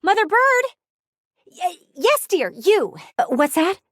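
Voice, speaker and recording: A woman's voice: very high-pitched, very animated, slow pace, fairly bright tone, dry recording, studio quality, exaggerated voice. A woman voicing a nosy, prim, easily offended lady window in a video game, with a theatrical, gushing and condescending manner. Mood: gushing, hesitant. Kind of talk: animated video game character acting.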